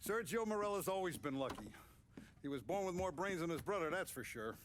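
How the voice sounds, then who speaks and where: An actor playing a calm, commanding male mob boss who gives firm, measured orders in a game cutscene. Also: high voice, slight background noise. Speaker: crime boss.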